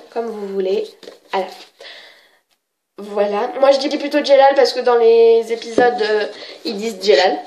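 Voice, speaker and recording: Woman, slightly high voice, has a cold, chatty show-and-tell, hesitant, faint background noise. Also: a little mellow. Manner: chatty, hesitant, many fillers and self-corrections. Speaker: manga collector showing her books.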